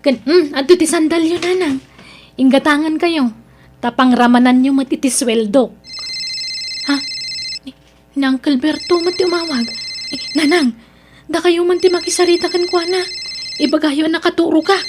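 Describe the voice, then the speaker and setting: A woman's voice: sits high, normal speed, long pauses, natural voice. A woman playing a scheming, resentful young adult daughter in a radio drama, protesting in a whiny way. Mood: pleading, evasive. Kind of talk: radio drama acting in Ilocano.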